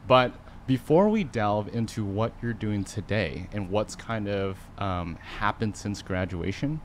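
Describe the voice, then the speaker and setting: A man's voice: lowish voice, a little slow, slight background noise. Easygoing young male podcast host. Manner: relaxed, conversational questions. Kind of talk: casual interview. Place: living room podcast set. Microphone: studio mic on boom arm.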